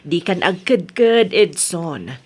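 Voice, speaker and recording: A woman's voice: on the low side, very animated, slow, full character voice. An actress with an Ilocano accent playing a concerned, insistent mother of a grown son in a radio drama.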